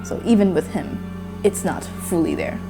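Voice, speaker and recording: A woman's voice: on the low side, lively intonation, moderate pace, creaky, over music. A nineteen-year-old woman from Myanmar, a native Burmese speaker, speaking candidly in a personal interview with hesitant restarts. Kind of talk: personal testimony.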